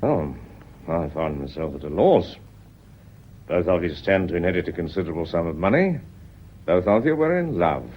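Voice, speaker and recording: A shrewd British police inspector with a very low, husky voice. He questions in a measured, courteous, insinuating way, with lively swings of pitch, in radio drama style. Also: exaggerated voice. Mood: methodical, accusing. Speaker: actor in a radio play. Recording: dull, band-limited radio drama recording.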